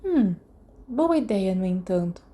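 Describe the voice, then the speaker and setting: A Brazilian woman voicing a bold, impatient schoolboy troublemaker with an excitable, demanding manner in a storybook. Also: mid-range voice, measured pace. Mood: mischievous.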